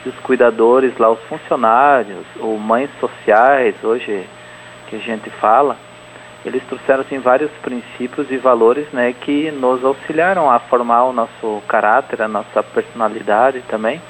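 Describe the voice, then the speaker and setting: A man in his forties gives a radio interview, talking through his answers with many fillers and restarts. His voice sits at a middle pitch, with a faint hiss of noise behind it. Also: steady tone, a little slow, low-quality recording. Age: 40s. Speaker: interview guest, event organizer.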